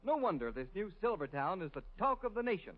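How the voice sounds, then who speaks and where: A man announcing in a vintage radio broadcast, with a booming, salesy delivery. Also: very animated, fast talker, phone-quality sound.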